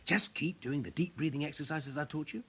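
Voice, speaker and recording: A man playing the calm, reassuring leader of a prison gang in a comic radio play, coaxing and organising. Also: on the high side, a little fast, husky. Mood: soothing.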